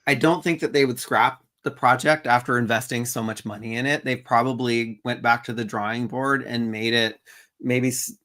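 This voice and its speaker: A man's voice: mid-range voice, steady tone, normal speed, a bit bright. Laid-back guy cohost, chatty, medium voice, laughs along. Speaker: co-host of a toy news show. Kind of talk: casual chat.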